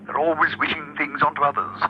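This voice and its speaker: An actor playing a testy, defensive older department chief on a telephone in a radio drama, blustering and clipped. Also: male voice, a little high, level tone, fairly quick, natural voice. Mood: indignant. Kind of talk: classic spy radio drama acting. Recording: telephone call on dull noisy recording.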